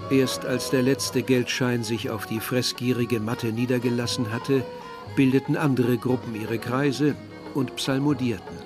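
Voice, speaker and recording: A reflective man played by an actor narrates in a measured, literary way. His mid-pitched voice sits over prominent music in a radio play. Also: fairly even intonation, lightly acted. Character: reflective male narrator recalling village and brother.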